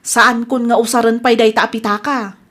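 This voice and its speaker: A woman's voice: fairly high pitch, fairly even intonation, normal speed, a bit bright. A woman with an Ilocano Filipino accent acting a flustered village wife in a radio comedy drama. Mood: defensive. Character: flustered, blame-casting village wife, later apologetic.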